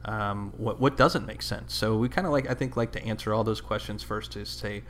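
A man co-hosting a podcast in a conversational discussion, with halting, hesitant phrasing. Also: on the low side, a little hiss or hum. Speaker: podcast co-host.

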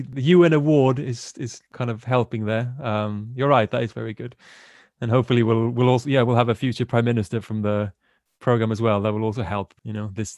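A man's voice: medium pitch, a little mellow, no room sound, studio quality. A man hosting a podcast and introducing a group of students, friendly and lightly joking. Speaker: podcast host.

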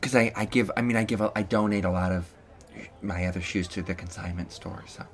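A woman's voice: rumbling low, level tone, a little fast. A woman playing a timid, flustered school mother in an improvised radio play, hesitant and stammering. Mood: awkward, polite.

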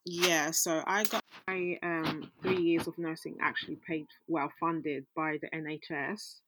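A woman's voice: sits low, flat delivery, unhurried, noisy background. A young woman from Austria talking casually as an interview guest, with informal, hesitant phrasing. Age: young adult.

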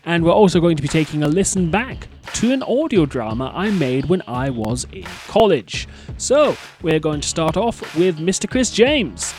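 A man hosting a radio show with lively, jokey, mock-dramatic banter, close on a studio microphone. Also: fairly high pitch, normal speed, soft background music.

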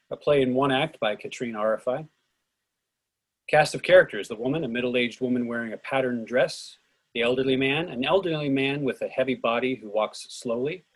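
A man narrates a play script, reading out stage directions and cast notes. His voice is mid-pitched with a husky grain. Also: fairly bright tone, low-quality recording.

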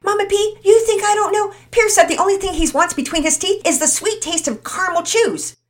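A booming, high-pitched boy bursting with energy, all swagger and jokes, as a woman reading the story puts him on. His voice leaps up and down with every line. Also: sing-song, a little slow, slight background noise. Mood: exuberant. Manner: boisterous, brash. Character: exuberant loud joking boy.

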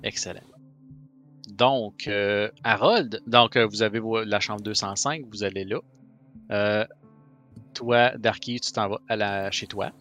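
A man's voice: fairly low pitch, steady tone, slow pace, fairly bright tone, soft background music. Quebec French man, game master, chatty, filler-heavy, voice-call mic. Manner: chatty, many fillers and restarts. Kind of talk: narrating a tabletop game.

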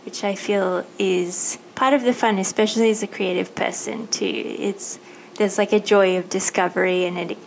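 A woman's voice: mid-range voice, gravelly, slight background noise, poor audio. A woman hosting a podcast interview, chatting casually with many fillers and long rambling turns. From Thailand. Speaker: podcast host.

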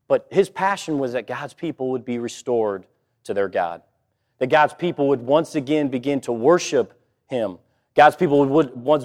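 A man preaching a sermon, slightly hoarse from a cold, talking in a conversational way with many fillers and restarts. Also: mid-range voice, a little mellow. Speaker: pastor.

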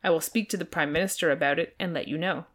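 A woman's voice: low voice, fast talker. A woman voicing a genial, world-weary male prince in a majestic, affectedly posh manner, from a storybook. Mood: gracious.

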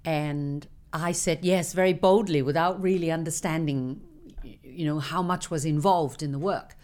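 Older woman with a low voice. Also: steady tone, normal speed, a little mellow. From Hong Kong. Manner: anecdotal, laughs between stories. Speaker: singer interviewed as guest. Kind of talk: reminiscing interview.

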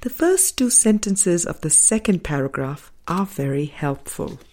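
A woman reading a spiritual audiobook as scripted narration, in deliberate, measured phrases with pauses between them. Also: lowish voice, expressive, darkish tone. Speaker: audiobook reader.

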